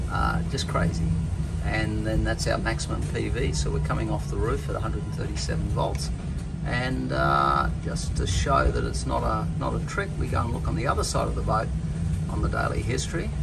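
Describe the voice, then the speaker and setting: A man's voice: medium pitch, vocal fry, very noisy. A man walking through a boat's solar setup in a casual, technical way, with fillers and self-corrections. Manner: informal, explanatory, many fillers and self-corrections. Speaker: solar installer demonstrating a boat system. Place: on a sailing catamaran, outdoors and in lockers, later voice-over on screen recording.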